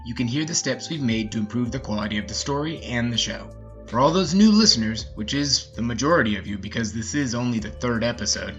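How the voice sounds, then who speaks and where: A man's voice: medium pitch, fairly bright tone, highs cut off. A man hosting a story podcast, talking and narrating in a casual, folksy way.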